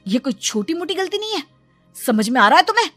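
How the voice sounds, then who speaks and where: A woman's voice: sits high, big pitch swings, brisk, a bit bright, faint music behind. A woman voicing a demanding, complaining young husband in a moral story cartoon. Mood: angry, complaining. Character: demanding young husband and his calmer father.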